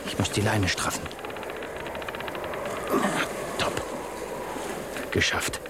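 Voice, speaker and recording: An actor playing a gruff, solitary fisherman in a radio play, muttering to himself in short exclamations. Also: sits low. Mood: excited, gloating. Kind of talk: radio play monologue acting. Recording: loud sea and wind noise.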